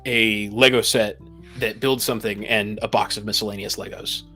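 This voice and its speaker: A man's voice: fairly low pitch, fairly even intonation, normal speed, sharp tone, soft background music. A man giving emphatic, opinionated views in a casual podcast discussion of tabletop games. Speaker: podcast panelist.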